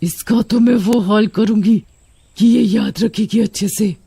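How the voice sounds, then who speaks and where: A man's voice: high-pitched, fairly even intonation, measured pace, creaky, mellow, husky, no room sound, exaggerated voice. A man voicing a greedy female witch who craves sweets in a cartoon horror story, with a gloating, menacing manner.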